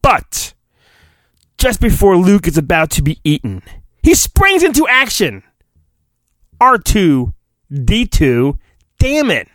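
A man's voice: sits high, huge pitch swings, slow pace, long pauses, a bit bright, no room sound. A man hosting a podcast, doing a comedic dramatic storybook reading in a hammy way, breaking off for joking, profane asides. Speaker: podcast host.

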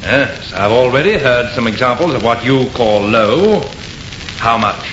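A noisy, echoey vintage radio recording carries a man playing an assured, enthusiastic artist. His middle-pitched voice is brisk and theatrical, scoffing at any fear as in a horror serial. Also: expressive, close to an everyday voice. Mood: bargaining. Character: confident, scoffing male artist, enthusiastic and unafraid. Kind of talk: old-time radio horror acting. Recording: muffled, echoey old radio recording, heavy noise.

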